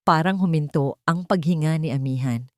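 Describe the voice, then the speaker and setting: A woman narrating a scripted dramatic story in a measured, solemn way with pauses for effect. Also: deep voice, darkish tone, no room sound, studio quality.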